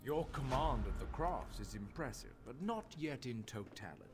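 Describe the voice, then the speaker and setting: An actor playing a learned master crafter in a fantasy game, speaking in a formal, measured, instructive manner. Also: male voice, mid-range voice, very animated. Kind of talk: scripted fantasy game dialogue. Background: game music.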